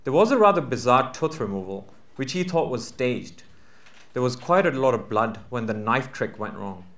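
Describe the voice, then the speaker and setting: A man with a mid-pitched voice reads literary fiction aloud to a live gathering. The recording is clearly roomy, with the echo of the venue around his narration. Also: fairly bright tone. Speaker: reader at a book launch. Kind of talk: live literary short story reading. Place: live book launch venue.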